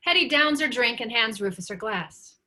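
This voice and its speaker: A woman's voice: fairly high pitch, animated, moderate pace, bright, forceful tone. A woman narrator reading stage directions at a script table read.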